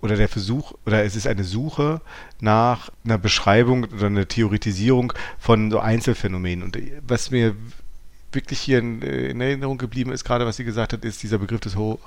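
Lowish-voiced, reflective male podcast co-host. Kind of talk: reflective intellectual discussion.

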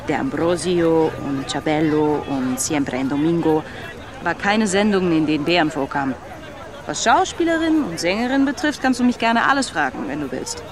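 An actress playing an earnest, skeptical Mexican immigrant woman working in fast food, in a naturalistic radio drama. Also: fairly low pitch, close to an everyday voice.

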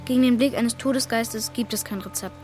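A woman's voice: fairly high pitch, steady tone, a little fast, darkish tone, soft background music, natural voice. A woman playing a brave but weary young boy ghost hunter in a children's radio drama, earnest and plain-spoken. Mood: resigned.

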